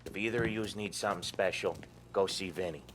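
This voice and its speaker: Actor as deep-voiced streetwise gangster. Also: male voice, fairly quick, noisy background, close to an everyday voice. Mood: weary, businesslike. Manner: informal tough-guy slang. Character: streetwise gangster readying a crew for a job. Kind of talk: video game cutscene acting. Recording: music behind the voice.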